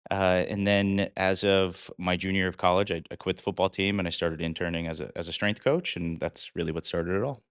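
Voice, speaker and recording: A man in his thirties from Connecticut talks through his coaching career in an earnest, casual way. His voice is very deep and smooth. The recording is dry and close to the mic. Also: fairly even intonation, brisk, few pauses, no echo. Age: 30s. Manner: earnest storyteller with frequent "uh" fillers. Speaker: guest, fitness coach. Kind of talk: casual interview.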